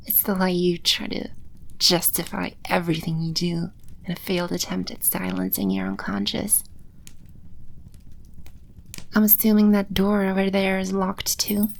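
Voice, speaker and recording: A woman playing a haughty, scornful female demon in a scripted audio roleplay, condescending and sardonic, with breathy edges to her voice. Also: lowish voice, little pitch movement, normal speed.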